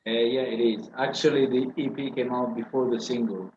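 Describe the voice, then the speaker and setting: A man from Italy, a band member answering interview questions in a relaxed, loose way. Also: mid-range voice, moderate pace. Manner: relaxed, filler-heavy, loose phrasing. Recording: slightly muffled, faint background hiss.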